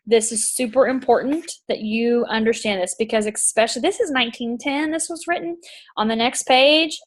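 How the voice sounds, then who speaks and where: Woman host, kinda high voice, super emphatic, fired up, repeats stuff, bit of a throat tickle. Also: normal speed. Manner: enthusiastic, emphatic, repeats points. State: throat tickle, occasional coughs. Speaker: podcast host. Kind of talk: casual chat reading from a book.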